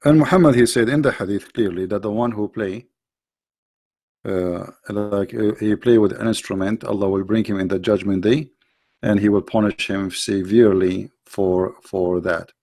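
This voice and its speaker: A man's voice: lowish voice, fairly even intonation, normal speed, a little mellow. A middle-aged man hosting a live stream, lecturing emphatically on religion in non-native English with frequent restarts and reading out viewer questions.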